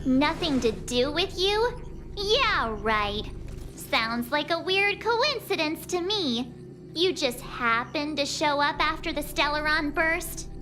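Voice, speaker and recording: A very high-voiced, perky outspoken girl. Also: slow pace. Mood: skeptical, indignant. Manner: brash, animated, sarcastic. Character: perky, outspoken, skeptical young girl crew member. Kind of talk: English video game voice acting. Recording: music under the voice.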